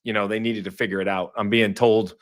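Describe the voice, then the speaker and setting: A man with a hoarse voice hosting a live post-race show, upbeat and conversational. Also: lowish voice, little pitch movement, a little fast. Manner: upbeat, conversational, reads fan chat aloud. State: hoarse, says he lost his voice. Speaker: show host.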